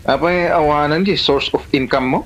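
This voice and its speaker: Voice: mid-range voice, moderate pace, natural voice. An actor playing a nosy, teasing, bossy village neighbour in a comedy drama, with an Ilocano accent. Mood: teasing, gossipy. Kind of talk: Filipino comedy drama dialogue.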